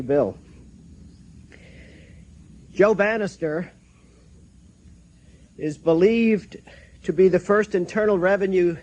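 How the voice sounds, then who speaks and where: An American man acts as a seminar host, reading a prepared introduction. His tone is soft-edged and relaxed, pitched a bit above average, and he moves formally through the text phrase by phrase. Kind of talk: formal scripted introduction read aloud.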